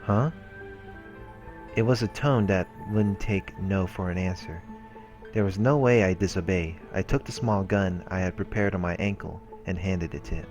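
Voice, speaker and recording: A very low-voiced man reads visual novel text out loud as a let's play host, slipping in casual remarks. He sometimes trips on a word and rereads it, with clear game music running underneath. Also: moderate pace. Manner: stumbles and rereads words while reading. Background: visual novel background music.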